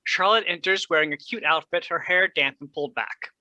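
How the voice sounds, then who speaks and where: Bright, crisp stage directions reader, dry sound. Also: mid-range voice, brisk, no echo, poor audio. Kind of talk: scripted narration for a play reading.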